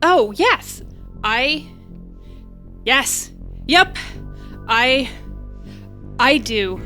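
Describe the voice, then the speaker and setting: A woman's voice: high-pitched, animated, very slow, pushed and bright, exaggerated voice. A woman playing a nervous, sarcastic, rambling repairperson in a comedy horror audio drama. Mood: awkward, nervous. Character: flustered, wisecracking woman bluffing as a repairperson. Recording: faint music behind.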